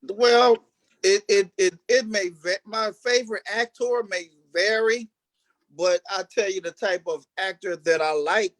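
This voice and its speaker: A male interview guest with a high voice and very little room echo. He talks casually, starting and stopping, and repeats phrases for emphasis. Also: a little slow, fairly bright tone. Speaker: stage actor, interview guest. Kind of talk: casual chat.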